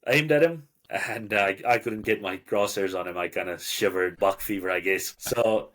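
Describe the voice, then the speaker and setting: A man from South Africa with a South African accent, a podcast guest telling hunting stories in a relaxed, plain way. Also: fairly low pitch. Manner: relaxed, plain storytelling with small pauses. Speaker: guest hunter. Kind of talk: casual storytelling.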